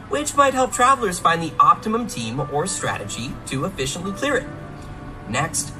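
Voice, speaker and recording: A man presenting scripted game announcements in an explanatory, measured way. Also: mid-range voice, rough recording. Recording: music playing behind the voice.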